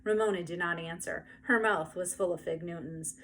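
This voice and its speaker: A woman narrating a children's book aloud in an expressive storytelling style. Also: lowish voice, normal speed. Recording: faint background noise.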